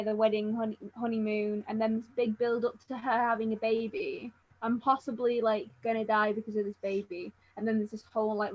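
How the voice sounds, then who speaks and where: Woman, fairly high voice, dry close sound, halting giggly delivery, casual discussion. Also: fairly bright tone, dry recording, muffled recording. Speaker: book readalong participant. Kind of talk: casual book discussion chat.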